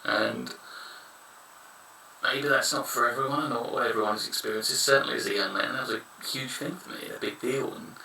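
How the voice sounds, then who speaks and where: A man's voice: mid-range voice, fairly even intonation, brisk, vocal fry, pushed and bright, a little hiss or hum. A man in his thirties giving frank, rambling advice in a casual monologue. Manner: frank, rambling, blunt opinions. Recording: echoey room, somewhat far from mic.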